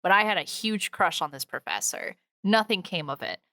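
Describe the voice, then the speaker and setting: A woman's voice: on the low side, very animated, normal speed, sharp tone, no room sound. A woman co-hosting a podcast in casual chat, telling things informally and interrupting herself as she goes. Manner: informal, self-interrupting storyteller. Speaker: podcast co-host. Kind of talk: casual chatty podcast talk.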